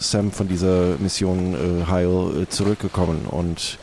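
A man playing a flustered, formal pirate guild official who speaks haltingly in an improvised role-play. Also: low voice, steady tone, dark tone. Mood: worried, businesslike. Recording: clearly noisy background.

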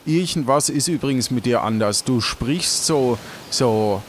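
A man's voice: mid-range voice, a little slow, a little hiss or hum. A man improvising an adventure audio play as one of its hosts, in casual, chatty dialogue. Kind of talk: improvised storytelling, casual in-character chat.

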